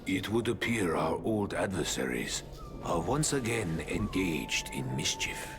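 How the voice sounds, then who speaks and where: An actor playing a fatherly old rat martial arts master in a video game, formal, measured and grave. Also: low voice, very animated, music in the background, heavily acted. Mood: grave, cautioning. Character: wise old rat sensei, solemn, fatherly. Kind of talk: video game cutscene acting.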